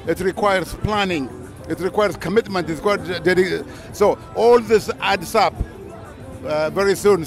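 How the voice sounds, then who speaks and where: A middle-aged man speaking earnestly to his son in a halting way, with many restarts, outdoors at a night festival. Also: high-pitched. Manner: halting, repeats words, earnest. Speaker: interviewee, host's father. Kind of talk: heartfelt spontaneous speech. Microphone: handheld mic.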